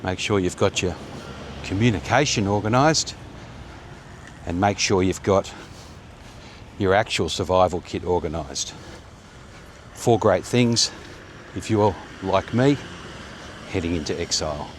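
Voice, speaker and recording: A man in his fifties talks in a rambling, friendly walk-and-talk style, full of ums and restarts, with a voice sitting a little low. He films himself on a handheld camera outdoors on a sunny suburban street, with faint traffic hum behind him. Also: a little slow, long pauses. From Australia. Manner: rambling, chatty, with many fillers. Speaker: vlogger. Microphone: phone or action camera held at arm's length.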